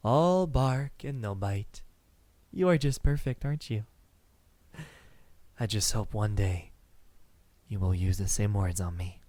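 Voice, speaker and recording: A man playing a courteous, lovestruck vampire in an intimate ASMR roleplay, speaking softly in formal words with hesitant pauses. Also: fairly low pitch, no echo. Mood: persuasive, devoted. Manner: hesitant, soft-spoken, formal wording with lingering pauses.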